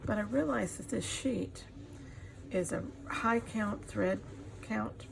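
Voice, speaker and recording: A middle-aged woman giving a casual craft demonstration as she works, pausing often to think. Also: medium pitch. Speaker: craft tutorial host. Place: indoors at a craft table. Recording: clear background noise, room ambience.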